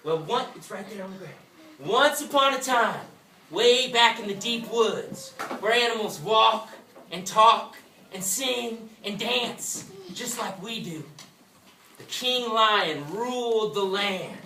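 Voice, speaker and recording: A woman hosting a live, interactive story for children in an animated, folksy, exclamatory way. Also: on the high side, slow, slight background noise. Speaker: puppet show host and storyteller. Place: indoor room with an audience. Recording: echoey room, distant mic, music.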